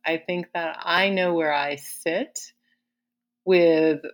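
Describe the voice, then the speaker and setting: A woman with a notably deep voice co-hosts a podcast. She speaks in a relaxed, conversational way, firm in her opinions, and often laughs while making her points. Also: slow. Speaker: podcast co-host. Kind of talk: casual conversation.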